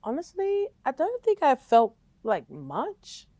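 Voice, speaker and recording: A woman's voice: sits high, huge pitch swings, takes it slowly, mellow, dry recording. A young woman speaking casually and emotionally to a parent, with many fillers like "like". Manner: chatty, emotional, uses lots of "like". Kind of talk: intimate interview conversation.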